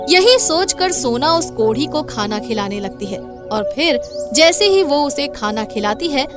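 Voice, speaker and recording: High-pitched woman narrating a story. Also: expressive, measured pace. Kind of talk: dramatic scripted story narration in Hindi. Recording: clear background music under voice.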